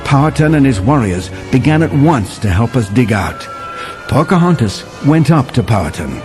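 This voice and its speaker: A man as an animated settler storyteller. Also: mid-range voice, music in the background, natural voice. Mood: warm telling. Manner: animated, vivid storytelling with dramatic pauses. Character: male settler storyteller recounting a winter adventure to John. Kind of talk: dramatic children's story narration.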